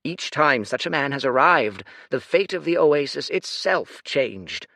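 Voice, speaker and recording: A man's voice: fairly high pitch, animated, unhurried, bright, forceful tone, no room sound, studio quality, pitched above the performer's own voice, heavily acted. A man voicing an old, scheming lord who gives lofty public speeches. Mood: grandiose. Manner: declamatory, oratorical. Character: old, scheming male city lord, grand speaker.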